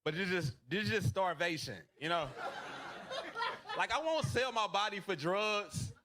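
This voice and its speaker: A man performing a stand-up comedy set, telling self-deprecating jokes in a deadpan way. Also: high-pitched, a little slow. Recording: noisy club recording.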